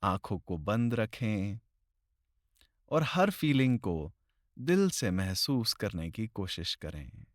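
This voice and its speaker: A man leading a guided meditation in slow, deliberate phrases with long pauses, recorded close to the mic. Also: fairly low pitch, sing-song, no room sound.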